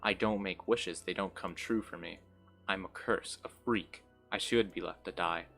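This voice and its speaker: Man reading as a low, despairing wounded young woman. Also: steady tone, moderate pace, gravelly, fairly bright tone, soft background music, heavily acted. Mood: bitter.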